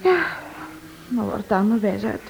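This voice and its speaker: A woman's voice: mid-range voice, animated, moderate pace, darkish tone. A woman playing a nosy, pouting, playful wife in a radio drama, with a melodramatic manner. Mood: curious, excited. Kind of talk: Dutch-language radio drama acting. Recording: dull old recording with clear noise.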